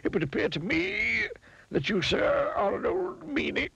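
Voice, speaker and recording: A man's voice: extremely high pitch, huge pitch swings, a little slow, vocal fry, dull audio, a crowd in the background, voice pushed up, full character voice. A man voicing a pompous, long-winded storybook wizard who is kindly but stern and rambles through qualifications. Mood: disapproving.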